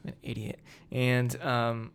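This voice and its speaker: Young guy early 20s, normal pitch voice, chatting casual on a podcast, slangy and emphatic. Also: monotone, unhurried, clear, resonant tone. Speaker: podcast co-host. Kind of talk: casual chat about films.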